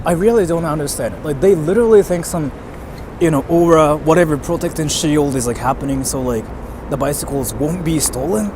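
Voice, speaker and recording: A man's voice: fairly high pitch, fairly quick. Chatty young man streaming from the street. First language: bilingual English and Japanese. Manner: chatty, rambling, reads out viewer comments. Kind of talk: casual live-stream chat. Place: outdoors on a city street. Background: faint street and traffic noise.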